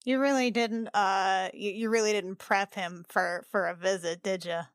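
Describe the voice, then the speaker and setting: A woman's voice: mid-range voice, steady tone, normal speed, clear, resonant tone, no echo, clean studio sound. A woman playing a tabletop game, improvising casually in character. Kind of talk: casual improvised role-play.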